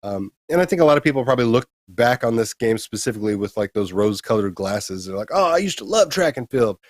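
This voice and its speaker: A man's voice: medium pitch, lively intonation, fast talker, no room sound. A man co-hosting a retro gaming show, giving blunt, emphatic opinions in casual talk with some crude slang.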